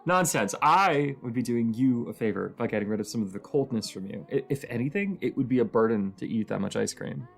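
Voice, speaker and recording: A woman's voice: lively intonation, a little fast, few pauses, soft background music, heavily acted. A woman playing a cozy, teasing young roommate with a shy crush in an intimate audio roleplay, her voice sleepy and grumbly. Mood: playful, warm. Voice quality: sleepy, grumbly low voice with creak.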